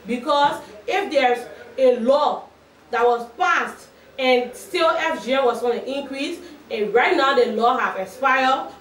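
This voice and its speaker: A middle-aged woman from Liberia with a Liberian accent, speaking firmly and steadily as an advocacy spokesperson at a press conference. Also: a little high. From Liberia, Nimba County. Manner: firm, measured, restarts phrases. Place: small office with shelves, indoors. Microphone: far camera. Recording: roomy echo from a distant camera.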